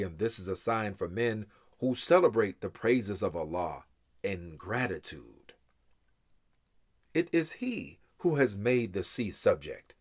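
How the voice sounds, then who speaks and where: A man reading translated scripture aloud in a solemn, measured and deliberate way, with dramatic emphasis and pauses. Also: lowish voice. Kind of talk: solemn scripture recitation in English.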